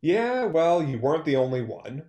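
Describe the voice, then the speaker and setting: A man's voice: a little high, very animated, moderate pace, darkish tone. A man in his twenties playing a hurt, guarded, wry young man facing an old love, in a play read over a video call. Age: 20s. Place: room at home. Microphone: computer mic on a video call.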